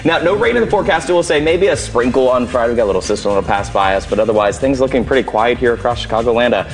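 A man giving a TV weather forecast in a relaxed, easygoing way. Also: mid-range voice, racing, faint music behind. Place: TV news studio.

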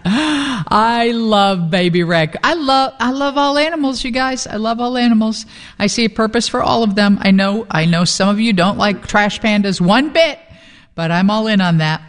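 A woman's voice: on the high side, big-room sound. A woman hosting a radio show, talking in a chatty, frank and casual way. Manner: chatty, frank, quick to laugh.

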